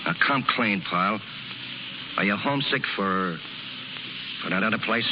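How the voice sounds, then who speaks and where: A man's voice: lowish voice, a little slow, lightly acted. An actor playing a tough, protective circus canvas man with blunt, slangy talk in a 1930s radio drama. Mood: concerned, coaxing. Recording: muffled, noisy 1930s radio recording.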